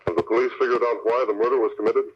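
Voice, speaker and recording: An actor playing a resolute newspaper boss and secret crime-fighter, brisk and earnest, in an old radio drama. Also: male voice, medium pitch, breathy edge, close to an everyday voice. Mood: businesslike. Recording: muffled, noisy old radio recording.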